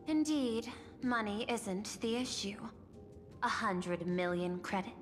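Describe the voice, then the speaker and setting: A female machine intelligence who runs a company in a video game. She negotiates in a formal, measured way, detached and coolly teasing. Her voice rings with long reverb over clear background music. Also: mid-range voice, animated. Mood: persuasive, calculating. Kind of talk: scripted video game voice acting.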